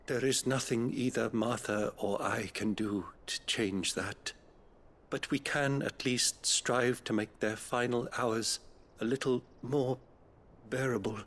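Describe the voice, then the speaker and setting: An actor playing a gentle, solemn priest who tends the dying in a video game cutscene, speaking softly and with measured care. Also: male voice, mid-range voice, fairly even intonation. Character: gentle older male abbey priest, solemn and kindly.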